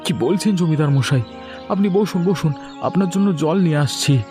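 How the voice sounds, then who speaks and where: A man acts as a poor village father in a cartoon moral tale. His voice sits a little high, respectful and pleading toward his betters. Music is clearly heard behind him. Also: moderate pace, lightly acted. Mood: bewildered. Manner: meek, pleading. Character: humble, deferential poor village fisherman and adoptive father. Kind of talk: dramatic cartoon story acting.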